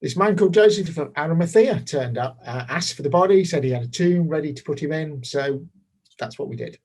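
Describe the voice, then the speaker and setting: An actor playing a casually callous, self-justifying Roman governor giving courtroom testimony in a hesitant, dismissive, conversational way. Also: male voice, on the high side, expressive, brisk, a little mellow.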